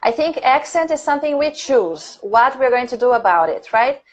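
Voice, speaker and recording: A woman's voice: high-pitched, lively intonation, moderate pace. A woman from Brazil with a Brazilian accent, whose first language is Portuguese, leading a casual English conversation class on a livestream. She talks in a chatty way, laughs often and thinks aloud with fillers. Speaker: English teacher.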